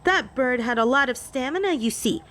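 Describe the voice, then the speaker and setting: A woman acts out a possessive vampire lady with a fairly high voice. She is haughty and theatrical, taunting her rival and doting obsessively in a scripted audio roleplay. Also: expressive, normal speed, a bit bright. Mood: smug, threatening. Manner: taunting, theatrical, clingy endearments.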